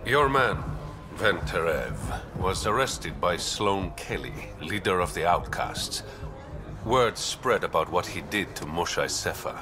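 An actor playing a suave, roguish young smuggler in a video game, smooth, sly and teasing. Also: male voice, deep voice, expressive, unhurried, noisy background. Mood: sly, measured. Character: suave, roguish adult male smuggler and informant. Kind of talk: video game dialogue acting.

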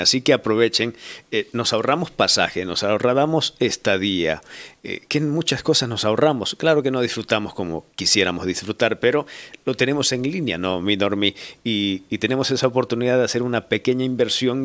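An Ecuadorian man from Manabí with a somewhat low voice hosts a podcast. He talks loosely and conversationally into a handheld mic, with plenty of fillers, in a small home studio. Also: a bit bright. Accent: Ecuadorian Spanish from Manabí. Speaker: podcast host. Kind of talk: casual interview. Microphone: handheld microphone.

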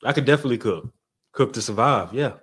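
Young Nigerian man, native Yoruba speaker, candid studio talk-show guest, mid-pitched. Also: fast, breathy edge. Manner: relaxed, candid, repeats phrases. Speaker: podcast guest. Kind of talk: casual chat, reading viewer comments. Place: studio set. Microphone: studio mic on stand.

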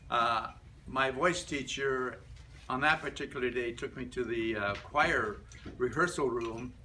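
Older man, bright voice, heard from afar. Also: medium pitch. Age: older, about 70s to 80s. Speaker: club member giving a life-story speech. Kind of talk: reading a prepared reminiscence aloud to a group. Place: medium-sized indoor meeting room. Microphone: camera a few metres away. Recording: distant room mic with background noise.

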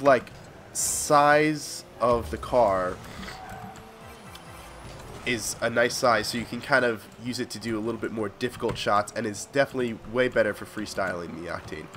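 A man's voice: on the low side, fairly bright tone. A young adult man hosting a gaming video with casual gameplay commentary, sounding congested and sick with a cold.

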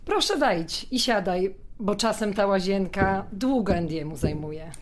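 A woman's voice: medium pitch, expressive, measured pace, close to an everyday voice. An actress playing a cool, self-assured woman cab driver in an audio drama, dry and matter-of-fact. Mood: cool, welcoming.

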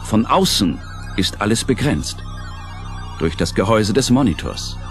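Low voiced guy narrating a radio drama, slow and theatrical, big pitch swings, faint music. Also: sing-song. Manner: theatrical, deliberate.

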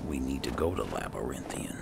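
A man doing in-character gameplay commentary, low-key and musing to himself, over game music. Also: lively intonation, fairly quick, creaky. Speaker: gamer playing a roleplay series.

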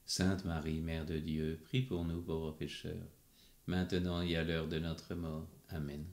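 A man reciting the responses of a rosary in an even, measured, prayerful way. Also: a bass voice. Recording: echoey room.

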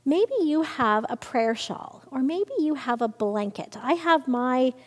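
A woman minister in her thirties, gently explaining to her congregation in a church sanctuary. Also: high voice, expressive, measured pace. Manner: gentle, explaining, with hand gestures. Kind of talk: children's conversation time. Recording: long reverb of a church sanctuary.

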